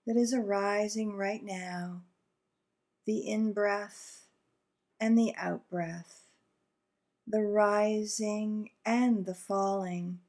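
Female guide for visualization meditation. Relaxed, warm timbre, very measured delivery, gentle and calming. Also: mid-range voice. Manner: soothing, gentle, deliberate with long pauses.

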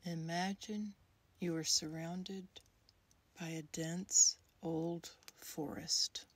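A woman leading a guided meditation in a soft, breathy, soothing voice, speaking in short phrases with long, unhurried pauses. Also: lowish voice, fairly even intonation. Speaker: guided meditation leader, creativity coach. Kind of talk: guided meditation, gentle scripted narration.

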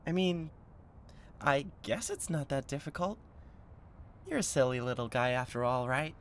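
A man playing an excitable, doting devil in a roleplay audio, stammering and gushing with awkward, playful affection. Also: mid-range voice, normal speed, slight background noise, lightly acted. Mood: giddy, playful. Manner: stammering, gushing, swings from gentle to manic.

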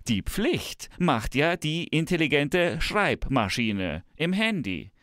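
A man playing a smug, tech-enthused professor in a scripted satirical dialogue, pompous and theatrical with drawn-out emphasis. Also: fairly high pitch, big pitch swings, a bit bright, no room sound, clean studio sound, full character voice. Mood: grandly enthusiastic. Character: smug, tech-enthused male professor, grandly visionary and teasing.

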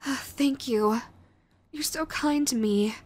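Woman acting a high-voiced, clingy sweet yandere girlfriend. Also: takes it slowly. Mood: touched, adoring. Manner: cutesy, hesitant, gushing pet names. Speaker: voice actress. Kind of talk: intimate audio roleplay acting.

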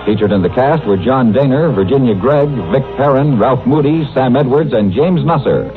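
A man's voice: medium pitch, expressive, moderate pace, over music. An American man announcing on old network radio, reading scripted copy in a polished, promotional manner. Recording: dull old radio broadcast recording.

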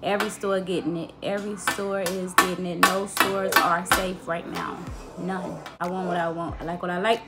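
Raspy-voiced woman chatting casually. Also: fairly low pitch, little pitch movement, moderate pace, reverberant. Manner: chatty, laid-back, drifts into soft asides. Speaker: home vlogger. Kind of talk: casual home tour vlog. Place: living room in a house. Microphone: phone camera held or set on a table. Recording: background music under the voice.

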